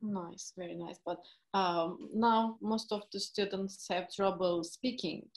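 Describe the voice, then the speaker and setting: Gravelly-voiced woman with as casual interviewer. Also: mid-range voice, steady tone. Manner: halting questions with fillers.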